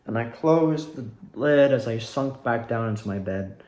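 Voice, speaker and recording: A man's voice: mid-range voice, measured pace. Young male amateur actor, mid-range voice, informal storyteller, echoey indoor recording. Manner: animated retelling, acts out quoted lines. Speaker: amateur actor recounting own performance. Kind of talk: casual self-interview storytelling. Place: indoors at home. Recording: echoey room.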